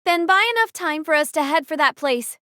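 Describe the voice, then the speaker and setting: A woman's voice: sits high, animated, fairly quick, bright, forceful tone, dry recording, clean studio sound. A woman voicing a young female squad captain who gives firm, decisive orders in an audio drama. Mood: commanding. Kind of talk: fan audio drama acting.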